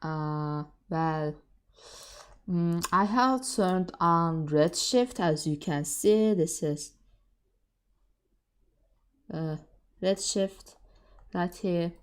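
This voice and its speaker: A woman with a mixed, shifting non-native English accent, chatting casually on a livestream in a halting, hesitant way. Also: lowish voice, clear, resonant tone.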